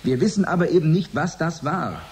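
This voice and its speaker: Ein Schauspieler spielt in einem Hörspiel einen ernsten Forscher, der im Interview vorsichtig und zögernd erklärt. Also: male voice, fairly high pitch, animated, fairly quick, a little mellow, a little hiss or hum. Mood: earnest. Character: earnest, careful male research expert. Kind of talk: radio drama interview.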